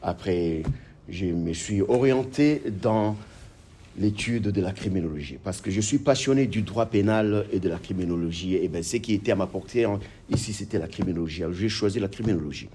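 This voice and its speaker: Man, low, mellow, hesitant. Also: a little fast, slight background noise. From Congo. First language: French. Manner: hesitant, many fillers and restarts, grows animated. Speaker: guest author and police officer. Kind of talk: interview answers.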